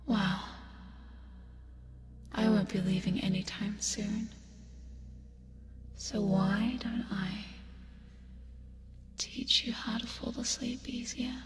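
A woman playing a teasing, creepy yet caring sleep paralysis demon in a breathy, soothing ASMR hypnosis roleplay, close to the microphone. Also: mid-range voice, steady tone, noisy background. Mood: teasing, cozy. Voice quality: breathy, whispery. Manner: slow, soothing, coaxing with teasing asides. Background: music.

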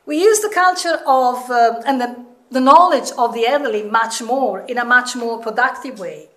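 A middle-aged woman with a Southern European accent addresses a seminar in a candid, emphatic way. Her voice sits fairly high. She speaks at a stand mic in a room with a strong echo. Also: moderate pace, fairly bright tone. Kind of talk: informal public talk. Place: indoor seminar room with seated audience. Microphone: microphone on a stand. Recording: echoey room, some distance from mic.

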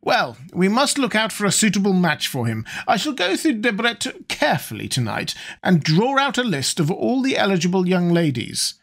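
A man's voice: high-pitched, huge pitch swings, moderate pace, few pauses, fairly bright tone, pitched above the performer's own voice, full character voice. A man putting on a gushing elderly society hostess. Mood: bossy. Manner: gushing, bossy.

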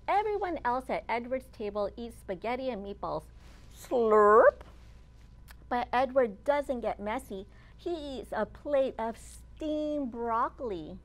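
A woman in her thirties, a children's librarian hosting storytime, reading aloud expressively and deliberately with dramatic emphasis for young children. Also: on the high side. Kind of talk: animated read-aloud of a picture book for young children. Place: open library children's area, indoors.

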